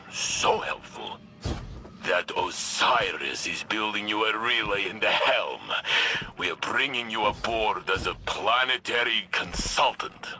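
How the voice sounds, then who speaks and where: Solemn, steady veteran warrior. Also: male voice, fairly low pitch, heavily acted. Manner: measured, solemn.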